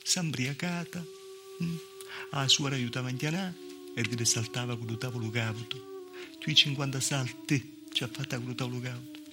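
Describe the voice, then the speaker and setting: A man's voice: mid-range voice, moderate pace, music in the background, natural voice. A man with a southern Italian accent playing a wry, plaintive village woman telling her story in a conversational theatrical monologue. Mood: wry, grim. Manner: conversational, punctuated with rhetorical "eh". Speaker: stage actor. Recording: live theatre recording with noise.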